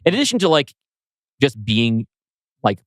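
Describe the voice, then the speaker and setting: A man's voice: mid-range voice, big pitch swings, moderate pace, no echo, studio quality. A man co-hosting a podcast in casual chat, informal and rambling, with the odd mild swear. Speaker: podcast co-host.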